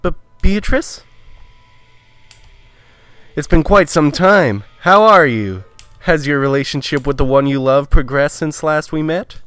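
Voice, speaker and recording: A man's voice: on the high side, measured pace, long pauses, fairly bright tone, clean tone, dry recording, faint music behind, voice pushed up. A man voicing a haughty, self-satisfied female witch with an airy, smugly courteous manner. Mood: airy, pleased.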